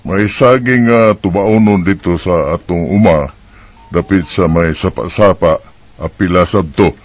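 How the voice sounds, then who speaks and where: A man's voice: deep voice, dry recording. A man playing a rural farmer father who gives careful instructions, in a Bisaya radio drama with a Cebuano accent. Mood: matter-of-fact, cautioning. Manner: unhurried instructions, ends with checking tags.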